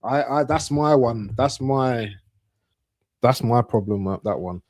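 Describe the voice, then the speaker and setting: Relaxed man on a dry video call. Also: mid-range voice, takes it slowly, no echo. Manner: relaxed, joking, gives shout-outs. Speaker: co-host on the stream. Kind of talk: casual football chat. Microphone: video call, camera off.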